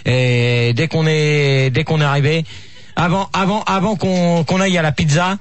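A man's voice: a little high, steady tone, moderate pace, no room sound. A man on a live radio show, talking casually and hesitantly, repeating phrases as he thanks everyone. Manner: flustered, repeats himself, many hesitations. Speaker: radio show guest celebrating his birthday.

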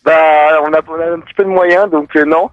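A man's voice: a little high, fairly even intonation, fast talker, no echo, narrow, tinny audio. A young man in his twenties calling in to a radio show, talking hesitantly with many fillers. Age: 20s. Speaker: guest radio host calling in.

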